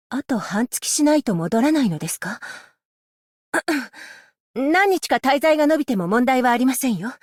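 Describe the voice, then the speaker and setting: A voice actress playing a stern, dutiful female magistrate-scholar, speaking in a formal, measured and politely stern way for a game's voice lines. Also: fairly high pitch, dry recording, studio quality, lightly acted. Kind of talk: game character voice lines. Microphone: close studio mic, dry.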